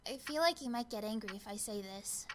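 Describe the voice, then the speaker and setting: A woman's voice: on the high side, animated, a little fast, close to an everyday voice. An actress playing an observant young female witness in a game, speaking earnestly and a little hesitantly. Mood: earnest, uneasy. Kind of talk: game drama dialogue. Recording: clear ticking background noise.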